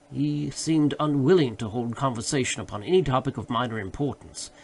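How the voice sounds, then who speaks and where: Guy narrating an old classic story, formal but expressive, pitch goes up and down, some background noise. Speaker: audiobook narrator. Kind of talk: literary scripted narration.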